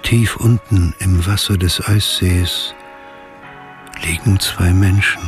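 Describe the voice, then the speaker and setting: A man narrating a radio drama as a calm, observant storyteller, measured and sober. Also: low voice, faint music behind. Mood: hushed, descriptive. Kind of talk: scripted present-tense radio drama narration.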